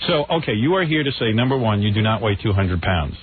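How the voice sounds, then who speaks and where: A man hosting a talk radio interview in a brash, teasing way with rapid, pressing questions. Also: lowish voice, animated. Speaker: radio show host. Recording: tinny, band-limited radio broadcast with studio laughter.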